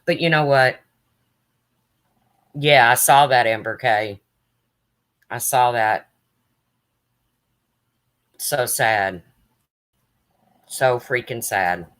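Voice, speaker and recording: A woman with a deep, raspy voice chatting casually on a live stream, answering viewers' comments by name as a true crime streamer. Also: very slow, pauses often.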